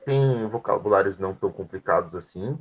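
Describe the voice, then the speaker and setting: Male language teacher, lower register, patient step-by-step explainer. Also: brisk. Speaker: French teacher. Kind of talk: explaining grammar in an online class.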